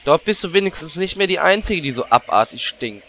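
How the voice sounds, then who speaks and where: An actor playing a flirty, melodramatic, bickering adventurer in a parody audio drama, teasing and theatrical. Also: male voice, fairly high pitch, animated, a little fast, sharp tone, a little hiss or hum. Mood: taunting.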